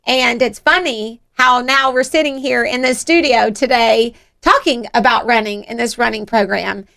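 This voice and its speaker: A woman's voice: fairly high pitch, moderate pace, creaky, bright, forceful tone. A middle-aged woman with a Southern US accent, hosting a chatty motivational radio show in an effusive, encouraging way. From Georgia, United States. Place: radio studio.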